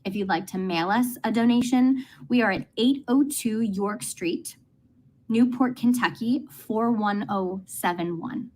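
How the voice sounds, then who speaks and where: A woman's voice: fairly high pitch, a little slow, a little mellow, rough recording. A woman speaking as a show's director, giving a scripted welcome speech to introduce a play.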